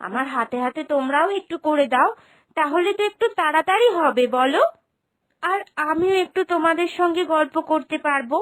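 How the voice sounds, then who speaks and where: Woman doing a bossy entitled young married daughter for a cartoon, high voice, sly and wheedling. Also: normal speed, a bit bright. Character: cunning, selfish, demanding young married daughter. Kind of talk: cartoon moral-story voice acting.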